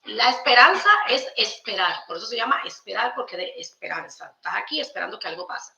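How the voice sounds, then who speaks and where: A woman's voice: mid-range voice, animated, fairly quick, vocal fry, sharp tone. A woman with a Dominican accent talking conversationally and emphatically as a podcast guest, explaining spiritual ideas. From Dominican Republic. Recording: roomy echo, slightly dull sound.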